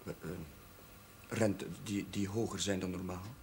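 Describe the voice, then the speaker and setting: Earnest, hesitant chaplain, faintly noisy soundtrack. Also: male voice, low-pitched, little pitch movement, a little slow, a little mellow. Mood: hesitant, insistent. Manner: hesitant, stammering, polite. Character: earnest, awkward, well-meaning new village chaplain. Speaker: actor in a television drama. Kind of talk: television drama dialogue. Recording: faint noise, old television soundtrack.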